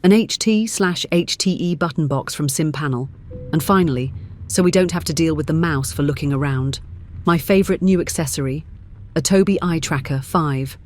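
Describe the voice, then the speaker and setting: A man's voice: a little high, moderate pace, a little hiss or hum. A man narrating a semi-scripted trucking game stream, announcing each step in a mock-formal, captain-like way.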